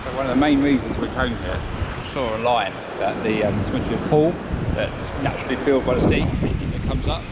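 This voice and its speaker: A man's voice: mid-range voice, brisk. A man chatting casually and off the cuff as a travel vlogger. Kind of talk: casual off-the-cuff vlog chat. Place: outdoors at windy coastal spots. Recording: very noisy outdoor wind and ambience.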